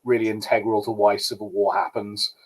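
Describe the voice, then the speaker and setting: A man's voice: on the low side, little pitch movement, a little fast. A man co-hosting a podcast, talking casually with a hesitant, stammering delivery. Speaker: podcast co-host. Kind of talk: casual pop culture review chat.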